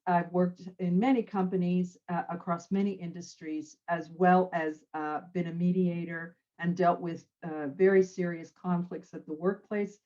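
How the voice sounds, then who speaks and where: A woman's voice: fairly low pitch, mellow, muffled recording. A middle-aged woman from French Canada and the United States, a psychologist speaking in a casual panel chat, rambling and correcting herself. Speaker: psychologist guest.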